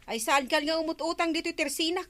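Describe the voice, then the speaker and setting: Sharp, nagging Ilocano village woman. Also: extremely high pitch, fast talker. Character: nagging, sharp-tongued village woman minding store debts. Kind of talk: Ilocano radio comedy drama acting.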